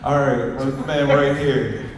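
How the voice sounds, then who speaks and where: A man's voice: mid-range voice, fairly even intonation, takes it slowly. A man co-hosting a live panel with informal, slangy, bantering talk. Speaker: panel co-host. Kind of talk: casual bantering panel chat. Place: large convention panel room with audience. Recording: echoey hall, distant mic, audience noise.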